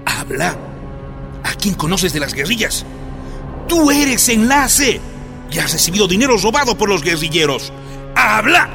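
An actor playing a brutal, lecherous military interrogator in a radio drama, gruff and bullying as he barks commands. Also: high-pitched, very animated, a little slow, pauses often, music in the background, full character voice. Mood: threatening.

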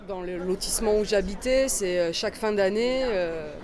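A woman in her forties from southeastern France, talking casually about her dance career in an interview, with a relaxed, rambling delivery full of fillers and restarts. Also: medium pitch, moderate pace. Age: 40s. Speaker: dancer and choreographer, guest.

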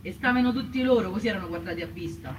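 A quick-talking female voice with a Roman accent, an actress as a poor woman recalling hardship. She is colloquial and insistent, repeating herself as in stage testimony. The recording is distant and noisy. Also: medium pitch, lightly acted. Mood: indignant. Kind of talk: theatrical first-person testimony. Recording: distant stage sound with clear noise.

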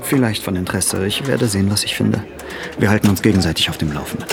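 An actor in a radio drama playing a dry, matter-of-fact police investigator. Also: male voice, fairly low pitch, quick pace, creaky, music in the background. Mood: dry, factual.

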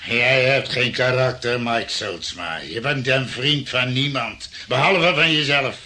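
A man's voice: medium pitch, unhurried, pushed and bright. Scornful middle-aged male police detective, radio play, very tense edgy voice, emphatic accusations. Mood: bitter, scornful. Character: bitter, shabby police detective around fifty, betrayed colleague.

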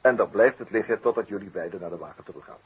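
An actor playing a courteous, shrewd detective with a hesitant, deliberately questioning way of talking in a 1960s radio play. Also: male voice, fairly low pitch, husky, muffled recording. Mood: probing.

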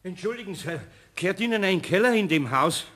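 A man's voice: high-pitched, animated, normal speed, fairly bright tone. An actor playing a flustered, good-hearted older craftsman in a German radio play, rambling and stammering in homely Bavarian dialect. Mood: polite, awkward. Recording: reverberant studio radio play.

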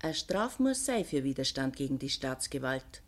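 A woman's voice: rumbling low, big pitch swings, normal speed, exaggerated voice. An actress playing a worldly-wise, bitter, poor Bavarian woman in a lockup, earthy and sardonic, in a 1950s radio drama. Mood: matter-of-fact. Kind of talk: 1950s German dialect radio drama acting.

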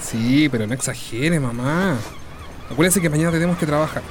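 Dutiful, soothing Chilean son played by an actor. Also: male voice, on the high side, brisk, natural voice. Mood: reassuring. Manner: deferential, soothing. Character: dutiful, reassuring grown son of a working family. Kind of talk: Spanish-language radio drama acting. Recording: noisy outdoor ambience.

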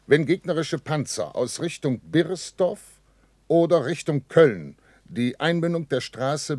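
An actor playing a dutiful army captain who reports formally and precisely in a German radio play. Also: male voice, medium pitch, a little mellow, no room sound.

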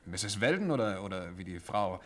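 An actor playing a fretful, easily worked-up young bank clerk in a 1950s radio drama. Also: male voice, deep voice, big pitch swings, a bit bright, slight background noise. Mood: worried. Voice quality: fretful and quick to get worked up.

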